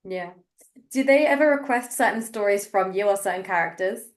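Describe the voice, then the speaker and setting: A woman's voice: mid-range voice, expressive, a little fast. A woman hosting a webinar, informally moderating questions and answers. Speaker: webinar host.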